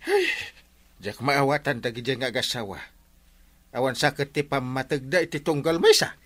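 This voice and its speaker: Animated, easygoing Ilocano village captain. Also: male voice, medium pitch, moderate pace. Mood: puzzled. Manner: animated, exclamatory. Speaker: actor in radio comedy drama. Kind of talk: Ilocano radio comedy drama acting.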